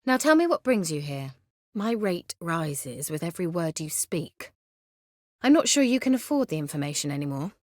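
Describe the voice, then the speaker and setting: A woman's voice: lowish voice, sing-song, normal speed, dry recording, clean studio sound. A woman playing a haughty, sly sorceress in a fantasy video game, articulate, wry and teasing.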